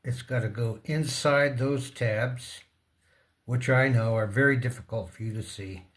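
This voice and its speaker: A man presents a casual repair tutorial at a very unhurried pace, with a middling pitch. His speech comes in short, halting fragments with pauses between them, as if talking partly to himself while his hands are busy. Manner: talks to himself and the object. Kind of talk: casual hands-on demonstration, muttering while working.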